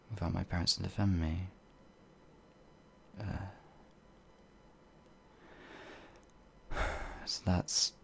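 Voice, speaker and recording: A man voicing a shy, gentle werewolf in an ASMR audio roleplay, hesitant and halting, with a breathy, gravelly voice that often drops toward a whisper. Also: sits low, a little hiss or hum, heavily acted. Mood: meek, resigned. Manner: hesitant, timid, halting, with sighs and trailing phrases. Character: shy, gentle, bumbling pacifist male werewolf, older than he looks.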